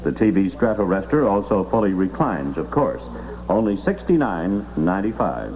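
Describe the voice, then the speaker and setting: A man's voice: low voice, big pitch swings, moderate pace, darkish tone, rough recording. A man reading news and ads in a formal announcer style, on a vintage 1960s radio recording with hum. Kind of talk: scripted 1960s radio newscast and live ad reading. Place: radio studio.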